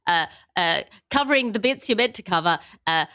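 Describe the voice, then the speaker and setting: A woman's voice: mid-range voice, lively intonation, a little fast, pushed and bright, no room sound, studio quality. A woman hosting a comedy podcast, wry and articulate, mixing banter with scripted bits. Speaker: podcast host.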